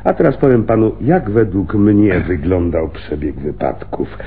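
An actor playing a probing homicide lieutenant in a radio crime drama, with drawling, conversational interjections. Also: male voice, low-pitched, animated, measured pace. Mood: confident. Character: wry, probing male police homicide lieutenant. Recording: muffled, band-limited radio recording.

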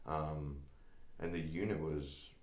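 A man in his thirties, a former soldier, telling stories in a relaxed interview, with many fillers and laughing as he goes. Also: rumbling low, takes it slowly, slight background noise. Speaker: podcast guest, former Green Beret. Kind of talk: casual interview storytelling. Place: small studio set at a table. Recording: echoey studio room.

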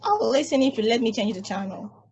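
A woman's voice: a little high, very animated, brisk, a crowd in the background. A woman reading a grumpy, cynical space probe in a table read over a video call, talking in a blunt, dismissive way.

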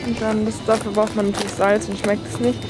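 A woman's voice: mid-range voice, little pitch movement, fast, music in the background, poor audio. A young woman from Germany chatting casually on a travel vlog, amused and talkative, filmed on a handheld camera in a car and a supermarket. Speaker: vlogger. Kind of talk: casual chat. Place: car, supermarket and parking lot. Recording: handheld camera with loud street and store noise.

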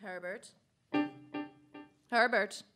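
A woman playing a domineering, coldly menacing wife in an old-time radio drama, deliberate and commanding. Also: mid-range voice, over music.

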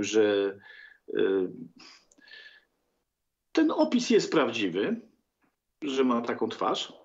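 An older man hosts a political show with a sardonic, theatrical manner. His pitch is mid-range and very animated, and he speaks haltingly. The sound is a little lo-fi. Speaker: TV talk show host. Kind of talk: sardonic political commentary to viewers. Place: book-lined room at home.

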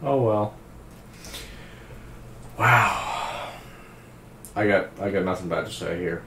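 A young man with a very low, naturally raspy voice, talking slowly and easily in casual reaction commentary. He swears freely and praises earnestly. His voice rings around a roomy room with a faint hiss behind it. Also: pushed and bright. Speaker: music reaction host. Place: indoor room at a streaming desk.

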